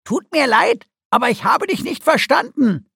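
A cheeky little creature, pitched very high. The mousebeaver talks with brisk, bossy emphasis, stern yet encouraging, in a dry, close audiobook recording. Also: male voice, big pitch swings, gravelly, a bit bright, no echo, full character voice. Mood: teasing.

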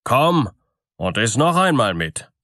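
A man acts out a blunt storybook miller who is easily excited and easily fooled. He speaks heartily, with bluster and a lively, moving pitch. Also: unhurried, no echo, clean studio sound, exaggerated voice. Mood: gruff, hospitable.

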